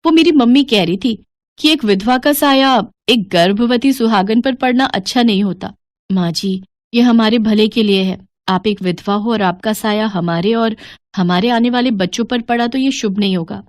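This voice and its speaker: A woman's voice: mid-range voice, normal speed, dry recording, close to an everyday voice. A woman voicing a haughty, superstitious young pregnant daughter-in-law in a family story, insistent and emphatic. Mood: insistent, cold.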